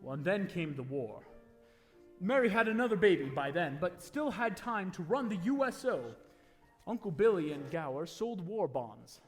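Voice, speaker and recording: Guy as angel boss narrating old radio play, high pitched, theatrical n folksy, echoey hall. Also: big pitch swings, normal speed. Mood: dramatic. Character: wise senior heavenly narrator recounting a life to Clarence. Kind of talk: 1940s radio play storytelling. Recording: live stage taping in echoey hall, music.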